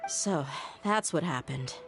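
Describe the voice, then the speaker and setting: A woman's voice: low voice, expressive, takes it slowly, creaky, full character voice. A woman playing a tough, guarded young mercenary in a video game, talking bluntly and casually. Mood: concerned. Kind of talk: video game story voice acting. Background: background music.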